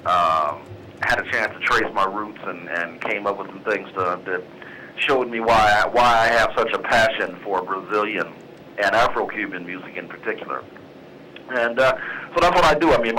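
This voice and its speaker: A man, a jazz band leader, guesting on a radio talk show and speaking in a relaxed, easygoing way. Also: fairly low pitch, a little hiss or hum, low-quality recording. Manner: relaxed, easygoing, many fillers. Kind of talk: casual radio interview.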